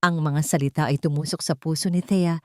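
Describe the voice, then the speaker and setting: A woman narrating a scripted audio drama in a solemn, deliberate, dramatic storytelling style. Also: sits low, fairly even intonation, dark tone, no room sound, studio quality.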